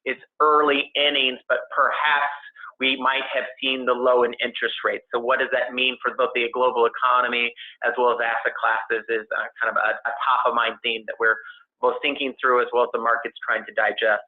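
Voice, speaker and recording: A man giving a technical investment presentation on a conference call, speaking in a halting, hedging way as an analyst. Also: mid-range voice, level tone. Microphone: conference call line. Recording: tinny phone-line conference call audio.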